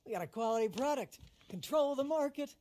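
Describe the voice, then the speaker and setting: A woman's voice: high-pitched, animated, a little fast, mellow. A woman playing a commanding, vengeful older criminal matriarch with a salty tongue in a dramatic game cutscene. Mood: calm, commanding.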